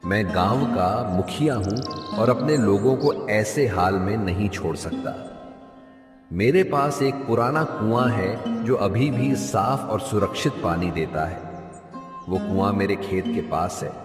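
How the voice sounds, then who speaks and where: A man voicing a caring, dutiful village headman in a cartoon, with calm, solemn authority. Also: on the low side, slow pace. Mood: reassuring. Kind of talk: Hindi moral story cartoon dub. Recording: echoey reverb with clear background music.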